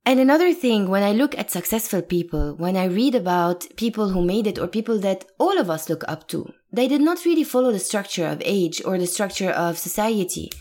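A woman's voice: medium pitch, lively intonation, brisk, few pauses, darkish tone. Earnest woman from Lebanon being interviewed. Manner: earnest, emphatic, laughs now and then. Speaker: guest actress. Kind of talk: reflective interview talk.

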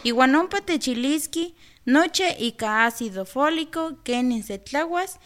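A woman's voice: high-pitched, expressive, slow. A woman playing an outspoken, helpful village neighbour in an educational radio drama, advising insistently with a Mexican accent. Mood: earnest.

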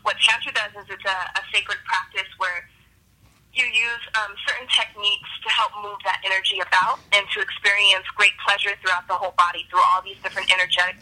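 Very bright-voiced woman explaining casually. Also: medium pitch, low-quality recording. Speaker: guest expert on tantra.

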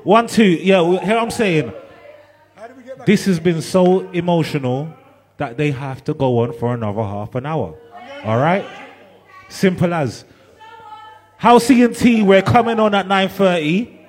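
A man's voice: sits high, measured pace, long pauses. A man host, slurring after drinking, apologetically announcing that the event runs on.

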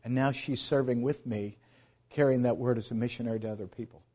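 A middle-aged man, a missionary, giving an earnest church presentation about his ministry, halting often and restarting his sentences. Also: mid-range voice, steady tone, darkish tone.

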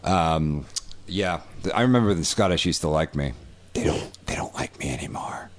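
A man co-hosting a podcast in casual comedic chat, joking crudely and irreverently, with excitable, theatrical retellings. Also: a bass voice, normal speed. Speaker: podcast co-host.

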